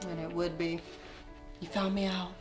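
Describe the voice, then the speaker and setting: A woman's voice: lowish voice, flat delivery, normal speed, over music, close to an everyday voice. A woman playing a flirtatious, scheming suitor in a comedy-drama web series, coy and arch with playful literary wording.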